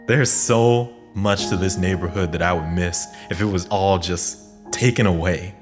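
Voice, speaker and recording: A man's voice: low-pitched, unhurried, music in the background. A man playing an earnest young community activist giving a sincere, heartfelt speech in an audio drama. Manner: sincere, nostalgic public speaking.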